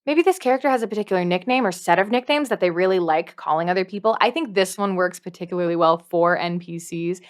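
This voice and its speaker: A woman's voice: lowish voice, quick pace, few pauses. A woman presenting a scripted tutorial to camera as a dungeon master, emphatic and playful, with dry asides. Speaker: video host, dungeon master.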